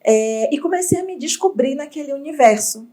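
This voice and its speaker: A woman from Bahia, an entrepreneur telling her story in an interview, reflective and expressive. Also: high voice, a little fast. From Bahia, Brazil. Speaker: entrepreneur guest.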